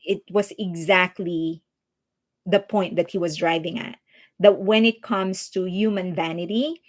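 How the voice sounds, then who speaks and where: Filipino woman chatting on a livestream. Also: medium pitch. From Philippines. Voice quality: chatty, earnest, frequent restarts and fillers. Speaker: livestream host. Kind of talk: casual livestream chat reading viewer comments.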